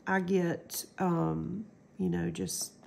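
A woman's voice: lowish voice, little pitch movement, very slow, vocal fry, darkish tone. A middle-aged woman with a stuffy cold, chatting casually through a craft demo at home and rambling with lots of ums. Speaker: crafting channel host.

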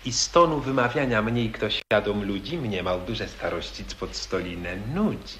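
A man playing a smooth-talking young nobleman suitor. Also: fairly low pitch, animated, normal speed, very noisy, natural voice. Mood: wooing. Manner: theatrical, wheedling, declamatory. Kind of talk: 1940s radio acting in verse. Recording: echoey old radio archive.

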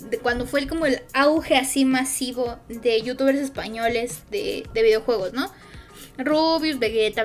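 A woman's voice: sits high, a bit bright. A woman with a Mexican accent chatting casually on a stream, rambling and hesitant with lots of fillers.

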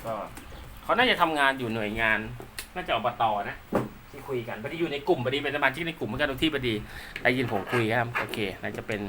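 Bright-voiced man in casual hands-on commentary. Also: medium pitch, slight background noise. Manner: polite, rambling, talks to himself while handling gear. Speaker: radio technician and seller presenting his work.

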